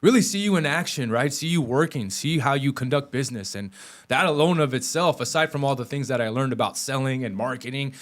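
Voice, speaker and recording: A man's voice: medium pitch, fairly quick. Rambling, hesitant male podcast host. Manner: rambling, hesitant, many fillers. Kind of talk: podcast interview.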